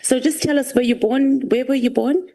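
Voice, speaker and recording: A woman asking a witness measured questions at a formal hearing, pausing often. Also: high voice, steady tone, creaky, mellow, a little hiss or hum. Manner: measured, with frequent "uh" pauses. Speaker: committee member questioning a witness.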